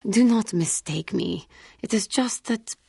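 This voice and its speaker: An actress playing a proud but guilt-haunted female feline alien warrior in a video game, speaking formally and earnestly, with halting care. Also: mid-range voice, vocal fry, warm tone, slightly rough, dry recording, clean studio sound. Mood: shy, apologetic. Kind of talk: scripted video game character dialogue.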